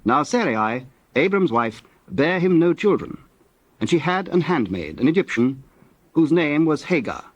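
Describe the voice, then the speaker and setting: A man narrating scripture from a script in a measured, solemn manner. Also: a little high, big pitch swings, no room sound.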